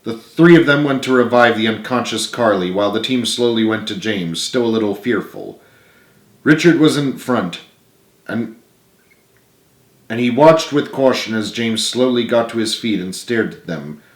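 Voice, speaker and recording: A man's voice: fairly low pitch, normal speed. A man reading his own adventure story aloud as a dramatic, earnest narrator. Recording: roomy, echoey home recording.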